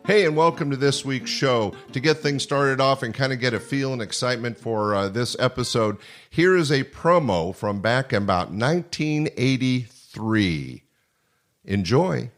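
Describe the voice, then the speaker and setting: A man's voice: medium pitch, lively intonation, moderate pace, a bit bright, soft background music. An older man hosting a podcast, chatting casually as he interviews guests in a small studio.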